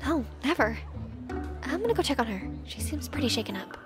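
Woman voicing a very high-pitched nervous schoolgirl. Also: sing-song, a little fast, music in the background. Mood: friendly. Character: nervous, nerdy teenage schoolgirl with a crush. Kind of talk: animated roleplay voice acting.

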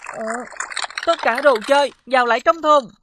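A woman voicing a playful, sincere young child in an animated series. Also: high voice, moderate pace, background noise, close to an everyday voice. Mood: excited. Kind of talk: Vietnamese animated series dubbing.

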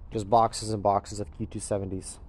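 A man's voice: fairly low pitch, flat delivery, moderate pace. Man giving a casual walkthrough. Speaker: crypto mining farm operator giving a walkthrough. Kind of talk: casual unscripted walkthrough narration. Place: large indoor warehouse with concrete floor. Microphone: handheld camera or phone held by the speaker.

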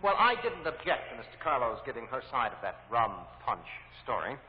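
An actor playing a shrewd, assertive defense attorney, insistent and drily sardonic, in 1950s radio drama style. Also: male voice, mid-range voice, big pitch swings, normal speed, lightly acted. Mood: dry, insistent. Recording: muffled, noisy old radio recording, reverberant.

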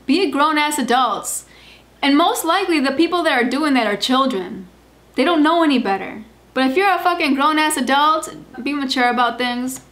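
A woman's voice: high voice, normal speed, fairly bright tone. A woman hosting a casual chat, blunt and supportive, with some swearing. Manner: blunt, backs up guests, swears. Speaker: host.

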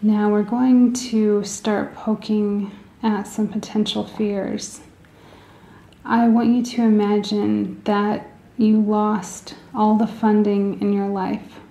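Slow, mellow woman guiding meditation. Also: medium pitch, level tone, reverberant. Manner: soothing, gentle, deliberate phrasing with pauses. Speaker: guided meditation leader. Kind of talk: guided meditation.

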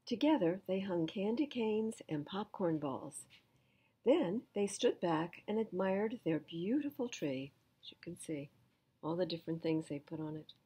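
Older female storytime reader, unhurried and expressive, lively pitch, slightly rough soft-toned voice. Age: older, 70s. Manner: slow, deliberate, animated reading. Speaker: children's storytime reader at a library. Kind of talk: expressive storybook read-aloud. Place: indoors in front of full bookshelves.

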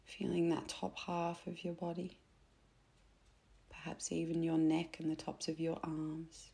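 A woman guiding a meditation in a soft, gentle, unhurried way, with phrase endings that turn breathy. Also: low-pitched, little pitch movement. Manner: gentle, unhurried, instructing softly with pauses. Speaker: meditation guide. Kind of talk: soothing guided meditation narration.

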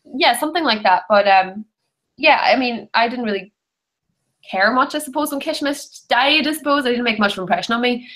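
A woman's voice: a little high, animated, fairly quick, fairly bright tone. A woman, chatting frankly and casually about books, with lots of filler "like". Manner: chatty, frank, uses "like" often. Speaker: book club panelist. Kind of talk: casual book discussion. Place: bedroom, indoors, on a video call. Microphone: computer mic on a video call.

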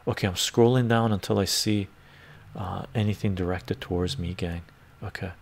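An older man from western Canada hosting a casual live stream from his home study on a lapel mic, talking emphatically, often adding "right?" and sometimes dropping to a soft whisper. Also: sits low, fairly even intonation, normal speed, vocal fry. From born in Iran, raised in western Canada. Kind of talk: casual live stream talk reading chat. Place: home study lined with bookshelves.

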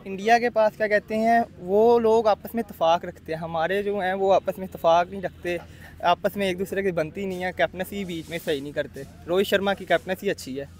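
A young cricketer from Pakistan answering questions outdoors at a ground, leaning on the same filler phrase again and again. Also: male voice, sits high, fairly even intonation, fairly quick. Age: young adult. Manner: repeats the filler phrase often. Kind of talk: casual interview answers. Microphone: handheld mic.

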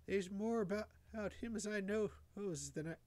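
A man's voice: high-pitched, a little fast, darkish tone, no echo, voice pushed up, natural voice. A man voicing a frightened, downtrodden rustic mother in a horror story, confiding in hesitant, faltering country dialect. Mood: fearful confiding.

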